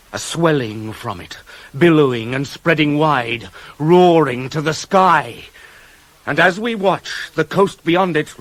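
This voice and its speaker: A slow, intense tragic messenger. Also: male voice, fairly high pitch, a bit bright. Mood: mounting terror. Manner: measured, declamatory, intense. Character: anguished male servant messenger of ancient Greek tragedy. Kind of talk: theatrical verse recitation for radio drama.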